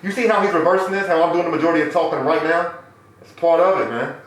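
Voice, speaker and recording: A man's voice: on the high side, fast, fairly bright tone. A man hosting a podcast interview, talking in loose, joking, slangy banter. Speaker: podcast host. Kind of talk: casual interview chat. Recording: very echoey room.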